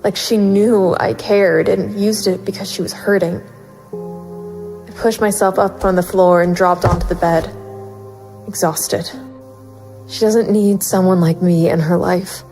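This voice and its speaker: A woman's voice: medium pitch, a little mellow, natural voice. A woman playing a weary, guarded investigator, narrating introspectively in the first person for an audio drama.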